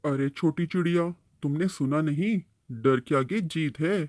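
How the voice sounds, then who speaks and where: A man's voice: on the high side, a little slow, darkish tone, close to an everyday voice. A man playing a kindly uncle tree in a bird cartoon, patiently explaining and advising. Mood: encouraging. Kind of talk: Hindi-Urdu cartoon storytelling dialogue.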